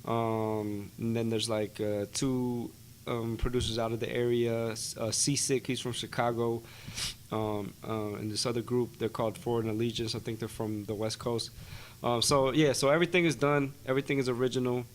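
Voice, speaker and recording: A young man talks in a relaxed, slangy way, with lots of fillers and tag phrases. His pitch sits in the middle for a man. A faint hiss of noise hangs behind him. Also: moderate pace. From Silver Spring, Maryland, USA. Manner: laid-back slangy talk, many fillers and "you know what I'm saying". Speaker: guest rapper. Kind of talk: casual interview chat.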